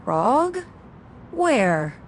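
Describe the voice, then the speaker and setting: A woman voicing a cocky, teasing fox-costumed vigilante in an animated series, with sarcastic quips. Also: heavily acted. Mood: playful sarcasm. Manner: sarcastic, unhurried quipping. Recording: clear low rumbling background noise.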